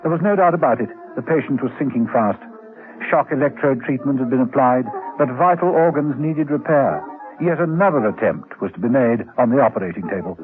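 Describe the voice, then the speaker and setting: A man's voice: mid-range voice, lively intonation, fairly quick. A man narrating a scripted radio drama as its storyteller. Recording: muffled vintage radio, faint music.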